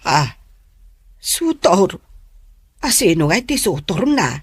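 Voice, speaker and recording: A woman's voice: lowish voice, sing-song, slow pace, breathy edge, no room sound, full character voice. A woman acting a fretful, advising mother in a radio drama. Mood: curious, coaxing.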